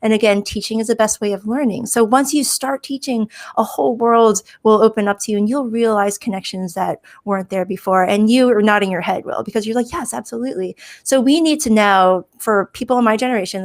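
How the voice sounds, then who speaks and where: A woman whose first language is Chinese, talking candidly and with jokes as a guest on a casual panel conversation. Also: mid-range voice. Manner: upbeat, candid, joking. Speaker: guest, information security director.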